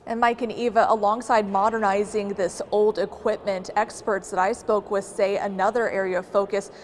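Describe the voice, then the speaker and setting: A young woman delivers a live television news report. Her voice sits at a middle pitch and her delivery halts a little. Faint location noise surrounds her. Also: level tone, moderate pace. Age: young adult. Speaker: news correspondent. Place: large stone rotunda. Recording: noisy live location sound.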